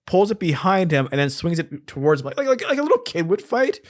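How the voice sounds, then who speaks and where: A man's voice: sits high, sing-song, quick pace. A man co-hosting a podcast in casual, comedic film-review chat, explaining things with repetition and the odd swear word. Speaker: podcast co-host.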